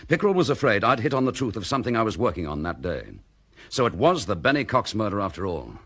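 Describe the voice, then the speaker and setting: An actor playing a dogged, weary English police chief inspector and protective husband in a radio drama. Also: male voice, on the low side, very animated, quick pace, a bit bright, no echo, studio quality. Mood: intent.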